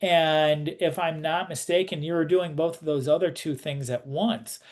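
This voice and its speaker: A man interviewing someone casually, with a halting, informal and roundabout way of putting things. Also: on the high side, fairly even intonation.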